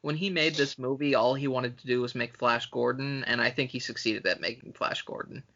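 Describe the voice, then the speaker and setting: A man's voice: mid-range voice, a little fast, creaky, sharp tone, muffled recording. A man co-hosting a podcast, chatting casually about films in an emphatic, profane way. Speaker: podcast co-host.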